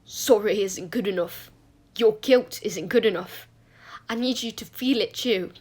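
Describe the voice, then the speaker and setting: A woman's voice: mid-range voice, measured pace, vocal fry. A woman voicing a bitter, sullen teenage schoolboy with a curt, surly manner in audiobook dialogue. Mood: anguished fury.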